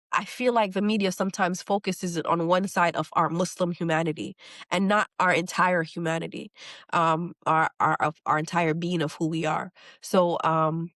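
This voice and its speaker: A woman's voice: lowish voice, no echo. Earnest New woman, dry sound. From New York. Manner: thoughtful, earnest, frequent restarts and repeated words. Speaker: poet, interview guest. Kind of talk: reflective interview conversation.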